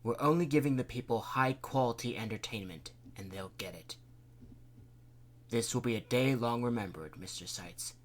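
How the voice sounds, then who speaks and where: A man voicing a stern, dismissive male mayor in a lowered voice. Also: moderate pace, a little hiss or hum, natural voice. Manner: curt, dismissive, lower-pitched.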